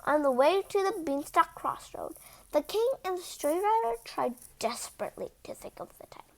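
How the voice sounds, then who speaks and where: High, animated woman reading a picture book. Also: fairly quick, gravelly. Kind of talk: animated children's storybook read-aloud with chatty asides.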